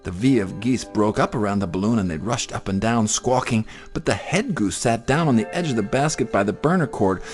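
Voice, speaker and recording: A man narrating a children's story in an expressive, theatrical way. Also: on the low side, fairly quick, few pauses, faint music behind. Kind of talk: dramatic children's story reading.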